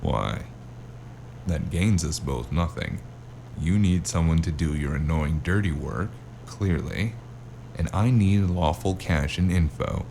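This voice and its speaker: Guy acting a wary drifter with no memory in a noir audio drama, super deep gravelly voice, wry, kinda noisy recording. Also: takes it slowly, mellow, exaggerated voice. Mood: defiant. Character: wary amnesiac male drifter narrating his noir tale.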